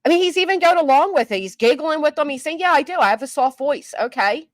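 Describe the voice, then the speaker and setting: A woman's voice: high-pitched, expressive, quick pace, no room sound, studio quality. A woman giving casual commentary on a live stream, reading chat comments aloud with a skeptical tone.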